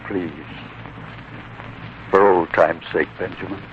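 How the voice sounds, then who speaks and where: Low-voiced humble old scholar. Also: male voice, steady tone, slow. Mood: modest, gently pleading. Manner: gentle, formal, restrained. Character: humble, revered older scholar, quietly modest. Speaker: radio drama actor. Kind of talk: 1940s radio acting. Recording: muffled, noisy 1940s radio broadcast.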